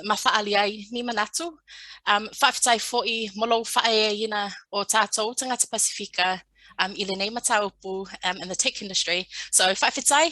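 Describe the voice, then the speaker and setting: A woman's voice: mid-range voice, dry recording. A woman, a native Samoan speaker, interviewing a guest over a video call and cheering her on. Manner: warm and encouraging, cheers the guest on. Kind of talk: interview questions.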